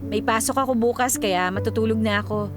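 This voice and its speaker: An actress with a Filipino accent playing a devoted, hardworking young migrant worker and encouraging best friend in a radio drama. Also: female voice, fairly high pitch, steady tone, fairly quick, over music, natural voice. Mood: warm, caring. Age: young adult.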